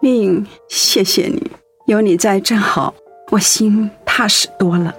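An actress playing a devoted, anxious wife in a radio drama, speaking tenderly and earnestly with feeling. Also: female voice, mid-range voice, a little slow, music in the background, natural voice. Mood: moved, grateful. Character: devoted, anxious wife caring for her sick husband.

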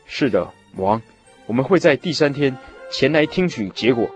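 A man playing an earnest, respectful people's leader petitioning his king in a radio drama, formal and husky. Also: medium pitch, expressive, normal speed, music in the background, natural voice. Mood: deferential. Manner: formal, deferential. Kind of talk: Bible radio drama acting.

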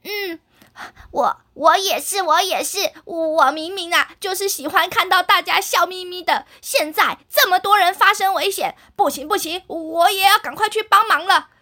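A woman's voice: very high-pitched, bright, forceful tone. A woman voicing a proud male fire-power superhero in a children's story, arguing his way is best. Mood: eager. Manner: argumentative, boastful, then eager.

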